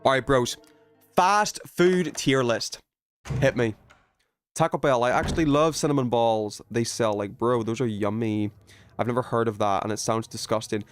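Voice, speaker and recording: A man's voice: mid-range voice, steady tone, normal speed, a little hiss or hum. A man from the UK chatting casually to his viewers while gaming, with an emphatic, opinionated, sweary way of talking. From United Kingdom. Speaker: video game livestreamer.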